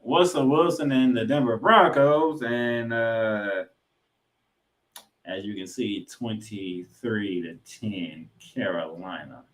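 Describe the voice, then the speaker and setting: A man's voice: lowish voice, slow. A man co-hosting a sports podcast, giving football recaps with emphatic, announcer-like delivery of scores and stats mixed with casual banter.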